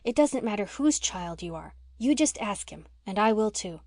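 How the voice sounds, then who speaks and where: A woman voicing a gentle, earnest young minister's daughter who speaks softly and eagerly. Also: mid-range voice, expressive, normal speed, dry recording, clean studio sound. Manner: soft, eager, kindly.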